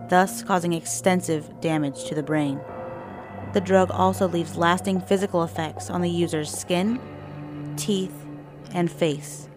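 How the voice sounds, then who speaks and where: A woman reporter reading a scripted, measured voice-over narration. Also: on the low side. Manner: measured, informative delivery. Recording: voice-over with music bed.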